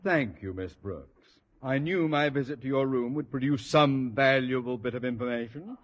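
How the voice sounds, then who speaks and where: A man's voice: mid-range voice, moderate pace, lo-fi sound, lightly acted. A man playing a pompous, blustering middle-aged school principal in a 1950s radio sitcom, firing off haughty insults.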